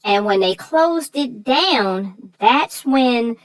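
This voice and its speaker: A woman's voice: a little high, expressive, very slow. A woman co-host talking over a children's story and giving advice, earnest and repeating words for emphasis. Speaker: co-host of a children's read-aloud show. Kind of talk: conversational story discussion and safety advice.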